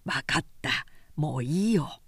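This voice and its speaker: A woman's voice: deep voice, lively intonation, unhurried, slightly rough, dry recording, pitched below the performer's own voice, full character voice. A woman reading a story, voicing a worried, devoted young working man with blunt masculine speech.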